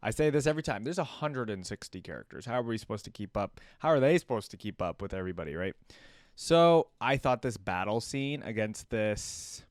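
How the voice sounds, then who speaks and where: A man's voice: fairly low pitch, expressive, fairly quick, no echo, studio quality. A man hosting a reaction video, commenting casually in informal, slangy fan talk.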